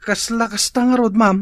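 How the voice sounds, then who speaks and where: A man's voice: sits high, dry recording, studio quality. A man playing a cheeky but respectful family driver in an audio drama, deferential with a teasing streak. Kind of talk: melodramatic audio drama acting. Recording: clear vehicle noise behind.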